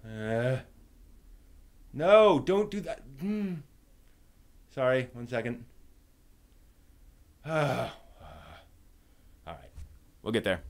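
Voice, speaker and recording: Low-key man muttering on a live stream. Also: expressive, very slow, long pauses. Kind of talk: casual live stream chat.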